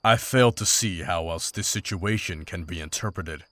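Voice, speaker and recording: A man playing a stern, pragmatic older monster hunter in a fantasy visual novel, speaking in a formal, measured, unsentimental way. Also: low voice, fairly bright tone, dry recording. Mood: cold, resolute.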